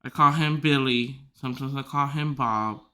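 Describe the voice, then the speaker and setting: A man hosting a podcast who tells rambling stories loudly, swearing freely and filling his talk with "like". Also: medium pitch, moderate pace. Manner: loud, swears freely, filler-heavy rambling. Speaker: podcast host. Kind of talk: casual storytelling chat.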